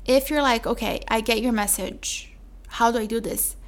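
A woman hosting her own podcast, talking chattily to the listener with a mellow voice and calling them "my love.". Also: fairly high pitch, normal speed. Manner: chatty, calls listener "my love", says "like" often. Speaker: podcast host. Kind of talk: solo podcast sales pitch.

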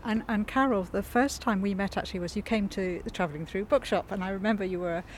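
A woman hosting a podcast, asking friendly questions with soft laughs, outdoors in a community garden, sharing one small microphone. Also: mid-range voice, quick pace, slight background noise. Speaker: podcast host. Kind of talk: casual interview. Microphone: sharing one small microphone with the guest.

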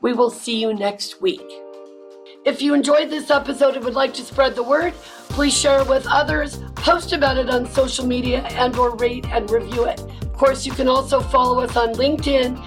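A woman hosting a podcast, talking with loud, emphatic energy and repeating words when she stresses a point. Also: high voice, fairly even intonation, moderate pace, soft background music. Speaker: podcast host. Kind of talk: casual podcast monologue.

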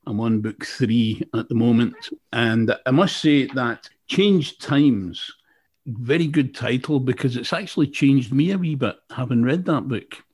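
Man, Glasgow accent, husky voice, chatty interviewer, lots of ums. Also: mid-range voice, a little slow, darkish tone. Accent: Scottish, Glasgow. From Scotland, Glasgow. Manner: chatty, many fillers and restarts. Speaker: interviewer, radio presenter. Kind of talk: casual interview chat.

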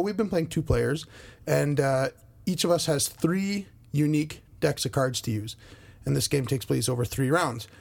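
A man co-hosting a board game podcast, losing his voice a bit and explaining rules in a rambling, halting way with many fillers. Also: medium pitch, creaky, a little mellow. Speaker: podcast co-host.

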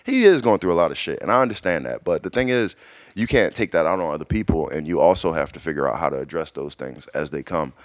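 A man's voice: a bass voice, fast, dry recording, studio quality. A young man casually telling a profane story, acting out every line of dialogue. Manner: animated, profane, acts out dialogue.

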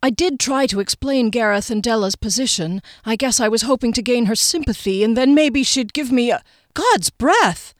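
A woman's voice: a little high, lively intonation, moderate pace, no echo, studio quality, voice pushed up, lightly acted. A woman voicing an anxious, earnest female village shopkeeper in an audiobook story. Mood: concerned. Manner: earnest, nervous.

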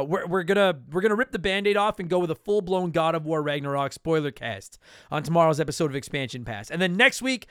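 A man hosting a podcast in a casual, joking, self-deprecating and blunt way, his voice slightly hoarse from a lot of talking. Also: high voice, no room sound, studio quality. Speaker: podcast host. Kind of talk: casual solo podcast talk with promotional plugs.

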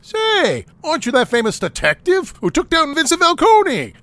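An actress playing an eager young newsy in a comic noir audio drama, brash and full of folksy period slang. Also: female voice, high voice, huge pitch swings, moderate pace, a bit bright, no echo, heavily acted. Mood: star-struck.

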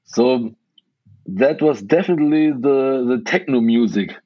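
A man's voice: mid-range voice, measured pace, dry recording. Hesitant man with dull, dry audio. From Hungary, raised in western Germany. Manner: hesitant, many restarts and fillers, laughs often. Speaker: interview guest, designer and illustrator. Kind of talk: casual interview conversation.

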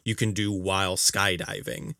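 Bright-voiced, low-pitched man podcasting. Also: little pitch movement, normal speed, smooth, no echo, studio quality. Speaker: podcast co-host. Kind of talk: casual video game discussion.

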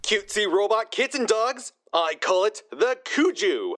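Brash, swooping tech tycoon. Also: male voice, high voice, big pitch swings, measured pace, noisy background. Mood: cocky, dismissive. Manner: glib, dismissive. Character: brash eccentric male tech tycoon, crass and cocky. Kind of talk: comedy audio fiction acting.

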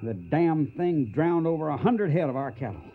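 An actor playing a gruff, hot-tempered trail boss in a radio western, with a folksy American Western drawl and an emphatic, commanding way of talking. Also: male voice, on the high side, animated, normal speed, narrow, tinny audio. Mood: grumbling. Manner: folksy, emphatic, barking orders.

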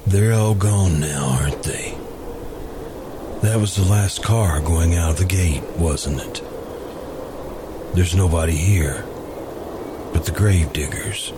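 A man playing a polite, grieving confessed murderer in a hushed, pleading manner, in the style of old-time radio suspense. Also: sits low, slow pace, pauses often, very noisy, pitched below the performer's own voice. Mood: sorrowful, hushed.

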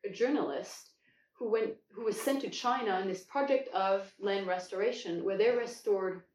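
A woman's voice: medium pitch, darkish tone, a little hiss or hum. French-born woman in an echoey room. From France. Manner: enthusiastic, halting restarts, drawn-out words. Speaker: guest. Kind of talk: casual conversational storytelling. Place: home kitchen, indoors. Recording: echoey room, far from the mic.

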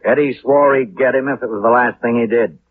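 An actor playing a slick, cynical American city attorney in a 1950s radio drama, speaking in a wry, glib, knowing way. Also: male voice, mid-range voice, a little fast, lightly acted. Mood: smooth, matter-of-fact. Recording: tinny, noisy 1950s radio recording.

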